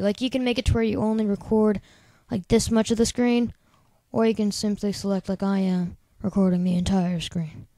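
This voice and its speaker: A man with a high-pitched, squeaky voice, casually talking through a software tutorial in a rambling, chatty way full of filler words. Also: no room sound. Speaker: tutorial host. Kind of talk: casual screen-recording tutorial.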